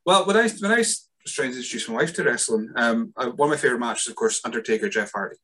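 A chatty Scottish man from the northeast hosts a casual podcast, his voice bright and forward. He laughs a lot and trips over his words, restarting sentences as he goes. His pitch sits in an ordinary middle range for a man. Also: animated, quick pace. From Scotland. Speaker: podcast host. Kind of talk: casual chat.

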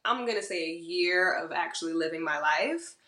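A bubbly woman from Georgia chats as a podcast guest, animated and playful, slipping into little voices as she tells stories. Her voice is bright and crisp, and the sound bounces around an echoey room. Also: fairly low pitch, moderate pace. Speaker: guest, model and musician. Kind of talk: casual interview chat.

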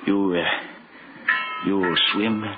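An actor playing a sly, greedy killer with a terse, halting manner in a 1930s radio drama. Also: male voice, low-pitched, fairly even intonation. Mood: shifty, guarded. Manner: hesitant, terse, gloating. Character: sly menacing greedy murderer, low and halting. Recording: muffled old radio recording, loud music.

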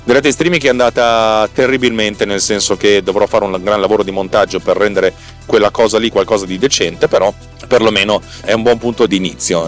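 A man hosting a solo podcast, explaining technical things casually in a rambling, colloquial way and repeating words for emphasis. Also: lowish voice. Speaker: podcast host and software developer.

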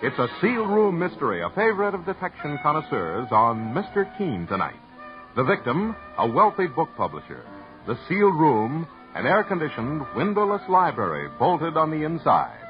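A man's voice: on the high side, huge pitch swings, normal speed. An American man working as a network announcer, reading scripted copy in a polished, emphatic 1950s radio style. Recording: dull old radio broadcast, music behind.

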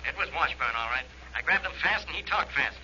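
An actor playing a blustering, excitable American police inspector in 1940s radio drama, insistent and grudging. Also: male voice, fairly high pitch, brisk. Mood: excited, grudging. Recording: noisy, tinny old radio recording.

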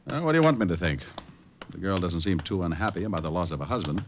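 An actor playing a suave, wisecracking private detective in a vintage radio drama, narrating and talking with smooth, wry humor. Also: male voice, low-pitched, expressive, fast, highs cut off, a little hiss or hum. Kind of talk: 1940s-50s radio detective acting and narration. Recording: old radio drama recording with music.

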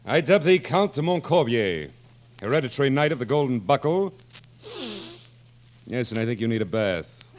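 A man's voice: medium pitch, expressive, normal speed, long pauses, slight background noise, natural voice. An actor in a 1940s radio drama playing a wily, sardonic king of France who speaks in a musing, regal, hesitant way. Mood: wry. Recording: vintage 1940s radio broadcast.

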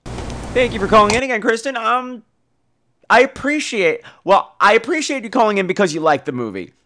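A man hosting a podcast, chatting casually about films in an animated, theatrical, wisecracking way. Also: sits high, a little fast. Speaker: podcast host. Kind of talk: casual film chat responding to a listener call.

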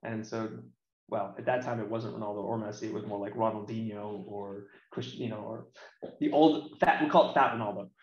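A man's voice: fairly low pitch, fairly even intonation, brisk. A man in his late thirties with an American accent, chatting casually and bluntly as an interview guest. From United States. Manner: blunt, colloquial. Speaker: interview guest, games marketing professional. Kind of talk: casual opinionated chat. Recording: roomy echo, slightly dull sound.

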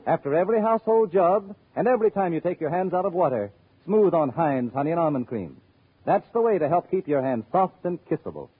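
High-pitched, animated vintage radio pitchman. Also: brisk, husky. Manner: persuasive sales pitch. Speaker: radio announcer. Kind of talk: scripted 1930s-40s radio advertising. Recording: muffled old radio recording.

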